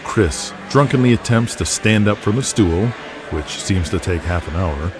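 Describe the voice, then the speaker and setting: Give me a low-voiced male drama narrator. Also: expressive, normal speed. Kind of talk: scripted audio drama narration. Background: music with guitar.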